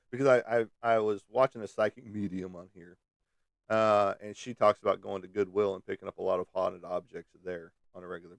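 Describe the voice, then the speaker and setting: A man on the lower side of the pitch range, with a clean, resonant voice, chats casually from his desk. He teases a viewer and keeps breaking into little laughs. The sound is dry, with almost no room echo. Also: vocal fry. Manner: teasing, chuckles often. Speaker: host. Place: at a desk indoors.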